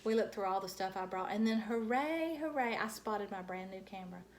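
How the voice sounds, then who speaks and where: A woman reading a children's book aloud in an expressive, animated storytelling style with dramatic emphasis. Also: medium pitch, fairly quick.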